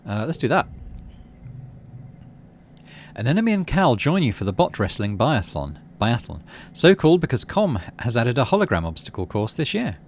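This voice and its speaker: A man's voice: mid-range voice, very animated, normal speed, a little hiss or hum. A man hosting a gaming video, reading story text expressively and adding his own casual commentary.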